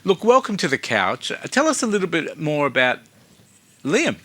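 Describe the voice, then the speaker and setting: Aussie host guy, super animated and bright, chatty, reads notes and stumbles a bit. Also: on the high side, normal speed. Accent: Australian. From Australia. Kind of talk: upbeat community TV hosting. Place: school studio.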